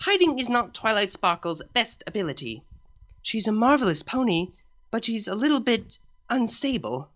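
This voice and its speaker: A woman's voice: a little high, sing-song, normal speed, fairly bright tone, pitched above the performer's own voice. A woman reading a fan story aloud, voicing a dry, teasing younger royal pony princess who speaks matter-of-factly. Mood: sarcastic. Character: sarcastic younger royal mare princess sister.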